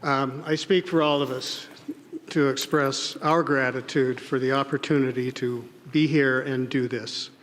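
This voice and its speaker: A man, an English professor, giving a measured, earnest prepared speech to a large audience. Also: medium pitch, fairly even intonation, a bit bright. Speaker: English professor giving a spoken-word address. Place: large indoor arena. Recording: reverberant arena sound.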